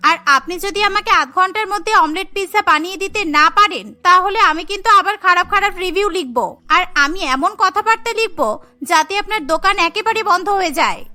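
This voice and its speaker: A woman's voice: very high-pitched, quick pace, bright, forceful tone, heavily acted. A woman voicing a demanding, spiteful young college student in a cartoon, with an insistent, petulant manner. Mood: angry, threatening. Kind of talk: cartoon dialogue acting.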